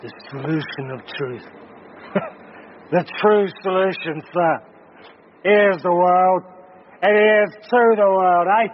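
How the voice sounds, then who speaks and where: A man's voice: high-pitched, slow pace, long pauses. Chuckling male drunk vagrant, repetitive street philosopher, muffled radio-drama sound with slight noise. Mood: tipsy, cryptic.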